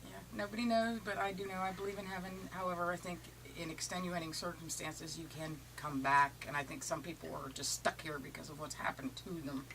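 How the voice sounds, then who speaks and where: Woman in her late thirties, lowish pitch, bright tone, dry and sarcastic. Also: level tone, fairly quick, hardly any pauses, a little hiss or hum, low-quality recording. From Ohio, US. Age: 30s. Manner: sarcastic, matter-of-fact. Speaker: casting applicant. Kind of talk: casual audition video.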